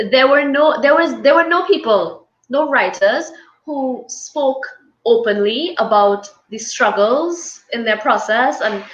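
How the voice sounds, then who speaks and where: A woman's voice: fairly high pitch, fairly bright tone, roomy sound, dull audio. A woman guest in a casual video-call interview, talking candidly and animatedly about her own past.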